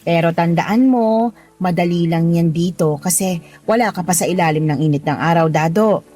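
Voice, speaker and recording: An actress playing a kindly married boss in a Filipino radio drama, giving firm, nurturing advice in a Filipino accent. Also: female voice, on the low side, normal speed, warm tone, natural voice. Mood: cautionary. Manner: advising, nurturing, firm reminders. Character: kindly, motherly married female boss teaching street-food vending. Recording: music playing behind the voice.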